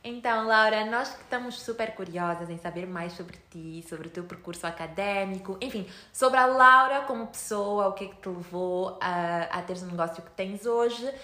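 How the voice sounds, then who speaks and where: A woman's voice: fairly low pitch, sharp tone. A woman with a European Portuguese accent hosting a casual podcast interview, enthusiastic and full of fillers. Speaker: podcast host. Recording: echoey room.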